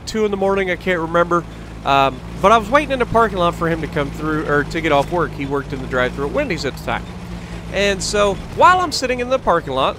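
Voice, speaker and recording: A man with an American accent and a slightly high voice chats casually as a gaming video host. He rambles conversationally between storytelling and play commentary. There is distinct noise behind his voice throughout.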